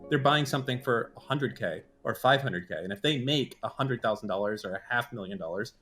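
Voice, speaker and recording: A brash man in his mid thirties speaks at an ordinary middle pitch on a casual call. He talks bluntly and crudely. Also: fairly quick, over music. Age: 30s. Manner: brash and crude, insulting banter. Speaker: guest caller. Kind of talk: casual debate on a stream call.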